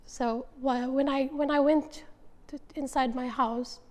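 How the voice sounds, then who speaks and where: A young woman from Yemen answering interview questions in halting English, often pausing to find her words. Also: high-pitched, steady tone, a little mellow, big-room sound.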